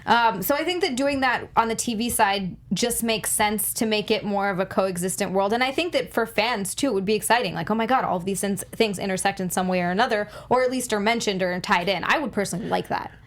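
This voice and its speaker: A woman with a mid-pitched voice chats on an entertainment panel. Her delivery is casual and conversational, like someone sharing opinions among colleagues. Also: a little fast, hardly any pauses. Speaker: panelist on a movie news show.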